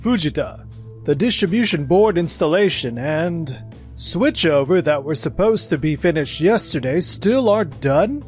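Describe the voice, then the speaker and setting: A man playing a stern, slow-talking company boss. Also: a little high, animated, a little mellow, faint music behind. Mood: concerned. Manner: deliberate, pointed, reproving. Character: stern, measured male company superior questioning a subordinate. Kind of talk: scripted manga dub voice acting.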